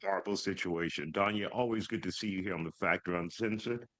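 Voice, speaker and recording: A man's voice: low voice, a little fast, a bit bright. A man hosting a television news interview, speaking emphatically as he puts questions to his guest. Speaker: TV news show host.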